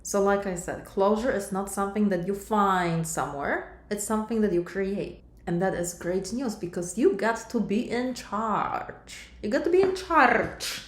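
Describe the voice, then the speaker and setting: A middle-aged woman with a Polish accent speaks as a life coach, addressing her listeners directly and fondly. Her pitch sits in an ordinary middle range. The recording carries a roomy echo. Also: expressive, measured pace, few pauses. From Poland. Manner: warm, direct, addresses viewer as sister and my love. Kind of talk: coaching monologue to camera.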